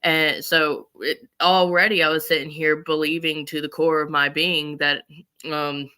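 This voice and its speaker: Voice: medium pitch, little pitch movement, unhurried, sharp tone, clear, resonant tone. A speaker on a talk show telling stories casually, rambling and quoting past conversations.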